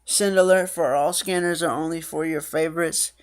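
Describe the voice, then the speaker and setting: A woman's voice: low voice, fairly even intonation, moderate pace. A woman giving a casual tutorial walkthrough, reading screen text aloud and then explaining it. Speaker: app reviewer and demonstrator.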